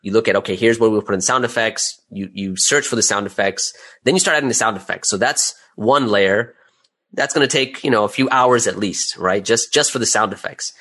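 Guy with a lowish voice being interviewed, chatty, walks you through stuff step by step. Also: a little fast, a bit bright. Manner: conversational, step-by-step explaining with rhetorical "right?" check-ins. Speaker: interview guest, audio drama creator and voice actor. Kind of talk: casual interview explanation.

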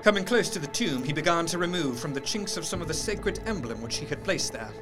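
A man's voice: fairly high pitch, animated, fairly quick, music in the background, lightly acted. A man playing an earnest diarist recounting horrors in a gothic audio drama, with formal, intense, literary narration. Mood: tense, amazed.

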